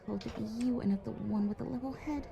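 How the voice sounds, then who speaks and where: A woman's voice: fairly high pitch, fast talker, vocal fry, lo-fi sound, a crowd in the background. A woman playing a friendly, caring young pony schoolgirl in a fan-fiction audio drama. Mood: casual, friendly.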